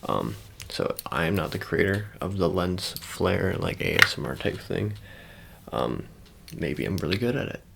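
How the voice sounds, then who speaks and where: Man, very low voice, heavy vocal fry, rambling casual ASMR, halting and self-correcting. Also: animated, noisy background. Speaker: ASMR creator. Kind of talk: ASMR light-trigger roleplay with casual rambling to the viewer.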